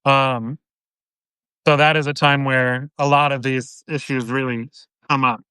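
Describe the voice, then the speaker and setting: A man being interviewed as an author, explaining in a measured way with "um" pauses. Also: medium pitch, little pitch movement, bright, forceful tone, smooth, no room sound, rough recording. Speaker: guest author. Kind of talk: interview answers.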